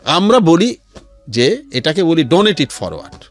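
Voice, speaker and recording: A man's voice: on the high side, very animated, moderate pace, soft background music. A man with a Bangladeshi accent talking earnestly as a guest in a radio interview, explaining in a storytelling way and mixing in English phrases. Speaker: interview guest, founder of a charity resale platform. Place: radio studio.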